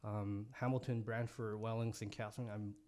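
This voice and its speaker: Young male guest, lowish voice, sincere and soft, a bit halting, studio mic. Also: flat delivery. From Japan. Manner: soft-spoken, earnest, halting restarts. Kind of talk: podcast interview. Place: office podcast studio. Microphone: studio mic on boom, headphones.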